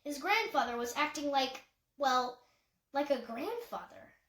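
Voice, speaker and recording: A woman's voice: high voice, expressive, measured pace, fairly bright tone, roomy sound. A woman reading a novel aloud as scripted audiobook narration. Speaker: audiobook reader.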